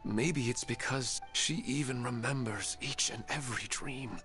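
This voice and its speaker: An actor playing a wistful, grieving widower in a video game, subdued and hesitant. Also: male voice, medium pitch, unhurried, over music, close to an everyday voice.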